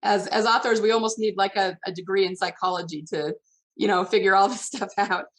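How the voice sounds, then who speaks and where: A woman's voice: mid-range voice, fairly even intonation, a little fast. A woman hosting a casual interview, speaking agreeably and conversationally as she reflects on what she has heard.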